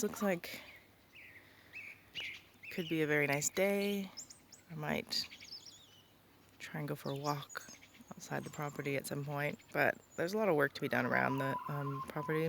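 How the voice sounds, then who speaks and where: Young female vlogger, casual and rambling, a fry-edged voice, on a noisy outdoor recording. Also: low-pitched, steady tone, normal speed, pauses often. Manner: rambling, many ums and likes. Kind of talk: casual vlog talk. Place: rural property outdoors, birds around.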